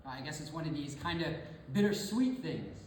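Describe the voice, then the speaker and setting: An actor performing a theatrical monologue as a rambling, sentimental storyteller, speaking casually with halting, emotional repetitions. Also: medium pitch, lively intonation, a little hiss or hum, lightly acted. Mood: wistful, moved. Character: rambling, sentimental storyteller moved by a family reunion, turning zealous. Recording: very echoey hall, distant mic, music behind.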